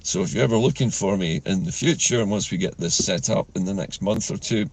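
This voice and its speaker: Croaky man, gravelly and husky. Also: deep voice, a little mellow, poor audio. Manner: measured, earnest, with frequent fillers. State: croaky from a chest infection. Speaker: political vlogger host. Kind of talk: talking-head political commentary. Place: inside a parked car.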